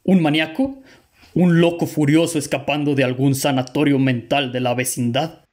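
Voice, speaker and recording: A man's voice: a little high, steady tone, moderate pace, darkish tone, lightly acted. A man with a Mexican accent playing an attentive, puzzled investigator in a radio drama, speaking in formal literary dialogue. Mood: puzzled, speculating. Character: attentive male investigator, puzzled, summing up clues.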